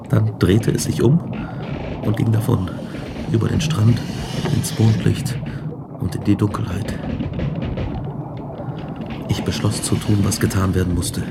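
A haunted man of science in a radio play, confessing in a low, grave voice. He sounds brooding and despairing, over loud background noise. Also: gravelly. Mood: grim resolve. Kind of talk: radio drama narration.

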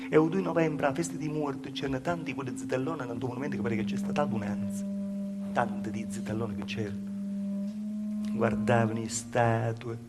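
A man's voice: mid-range voice, brisk, close to an everyday voice. A male actor with a Calabrian accent playing a humble peasant woman, telling her village past in a plaintive, folksy, repetitive stage monologue. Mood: mournful. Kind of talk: theatrical dialect monologue over live music. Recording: loud live music behind the voice. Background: live music.

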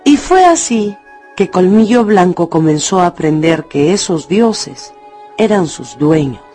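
A woman narrating a dramatized radio novel with expressive storytelling. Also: on the low side, unhurried, soft background music.

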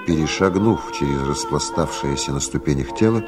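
A man's voice: extremely low pitch, lively intonation, moderate pace. A man narrating a 1970s radio drama in a scripted, stage-direction style. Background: music.

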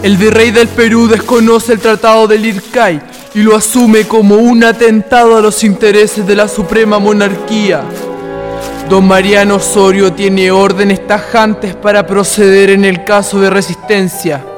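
Historical radio drama: male actor as urgent military messenger, high voice, little inflection, formal declamation. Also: level tone, unhurried, over music. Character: formal, urgent military envoy of the independence era.

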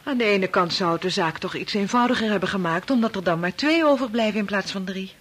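An actress with a Netherlands Dutch accent playing a sociable, expressive mother in a radio drama. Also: mid-range voice, quick pace. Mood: concerned. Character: sociable, expressive young mother, researcher's wife.

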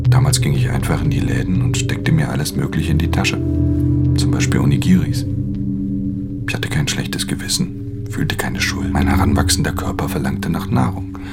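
An actor playing an aloof, weary male pickpocket in a radio play, terse and understated, often dropping into a near-whisper. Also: rumbling low. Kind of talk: German radio play acting with intimate first-person narration.

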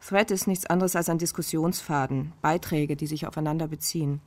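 An actress playing a brisk, wry, matter-of-fact woman explaining things in a radio crime drama. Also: deep voice, steady tone, a little mellow, natural voice. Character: brisk, wry woman, computer-savvy confidante.

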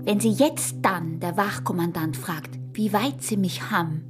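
A sly, seductive smuggler's wife in a radio play, performed by a woman, with an Austrian accent. She taunts and flirts in intimate rural dialect, at a medium pitch, with music underneath. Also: animated, measured pace, close to an everyday voice. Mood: sly, commanding.

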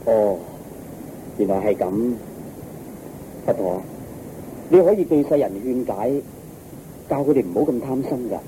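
Casting: male actor as deferential Buddhist monk, polite and questioning, Hong Kong Cantonese, dark dull radio drama sound, slight hiss. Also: medium pitch, animated, a little slow, pauses often, close to an everyday voice. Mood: earnest. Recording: muffled radio recording, faint noise.

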